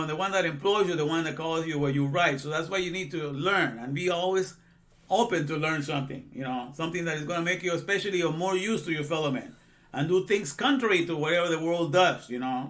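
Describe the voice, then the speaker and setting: A man's voice: a little high, fast talker, fairly bright tone. A man in his sixties, from Guatemala, giving an informal religious lesson in a rambling way with many asides and repeated phrases. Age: 60s. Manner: rambling, repeats himself, frequent "you know". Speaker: religious teacher.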